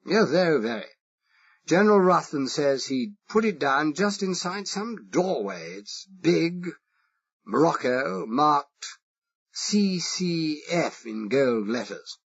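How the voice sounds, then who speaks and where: A man's voice: on the high side, big pitch swings, slow, husky, voice pushed up, heavily acted. Man reading: dithering posh English secretary, exaggerated upper-class accent, dull lo-fi sound. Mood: flustered posh. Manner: flustered, dithering, pompous. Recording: dull lo-fi recording.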